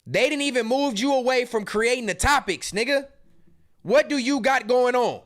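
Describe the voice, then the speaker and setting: High-voiced man in his thirties, mocking. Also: moderate pace, fairly bright tone. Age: 30s. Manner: profane, mocking, confrontational. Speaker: podcast host. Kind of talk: heated commentary reacting to a stream clip.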